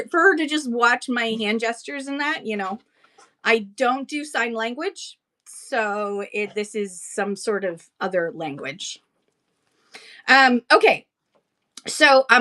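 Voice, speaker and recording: Chatty Canadian woman, higher voice. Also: bright, forceful tone. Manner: chatty and laughs easily between points. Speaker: livestream seller and host. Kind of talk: casual livestream teaching.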